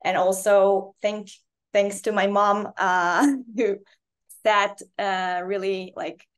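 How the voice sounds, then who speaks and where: A woman's voice: medium pitch, little pitch movement, slow pace. Woman. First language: Russian. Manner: earnest, slightly non-native phrasing. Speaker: cybersecurity analyst, guest. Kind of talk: casual interview talk.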